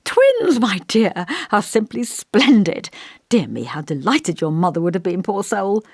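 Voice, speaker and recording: A woman's voice: mid-range voice, very animated, measured pace, husky, no echo, clean studio sound, heavily acted. A woman voicing an elderly male village vicar in an audiobook, kindly, long-winded and fussy, with a pompous, old-fashioned, musing manner. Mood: genial, fussy. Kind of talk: audiobook character dialogue.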